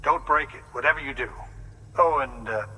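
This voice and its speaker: An actor playing a serious, precise doctor in a video game, speaking in a formal, explanatory manner. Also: male voice, mid-range voice, moderate pace, noisy background, natural voice. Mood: instructive, serious. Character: serious, precise male doctor briefing a courier. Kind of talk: scripted game dialogue.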